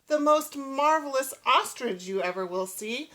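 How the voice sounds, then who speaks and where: A woman reading a children's picture book aloud, giving the rhyming verse an expressive, rhythmic delivery. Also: high voice, unhurried. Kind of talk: children's storybook read-aloud.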